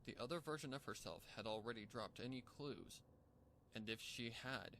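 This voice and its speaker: A man's voice: mid-range voice, animated, moderate pace, gravelly, fairly bright tone, slight background noise. A man reading a horror story aloud as a dramatic narrator. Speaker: fan fiction narrator.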